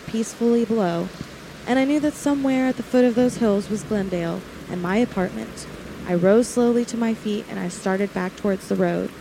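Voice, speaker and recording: Casting: woman to play frightened young heroine narrating a vintage radio thriller, mid-range voice, clear background noise. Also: medium pitch, close to an everyday voice. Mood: dazed, somber. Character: frightened young woman recounting her attack, dramatic and tense.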